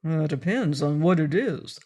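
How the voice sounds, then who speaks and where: A woman's voice: deep voice, expressive, measured pace, a little mellow. A woman voicing an old sailor man who speaks plainly and easily. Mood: calm.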